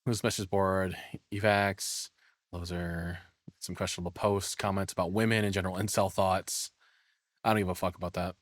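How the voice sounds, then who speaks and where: A man's voice: sits low, flat delivery, moderate pace, dry recording. Rambling, opinionated man in a dry close space. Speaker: streamer reacting to a video. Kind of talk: casual reaction commentary.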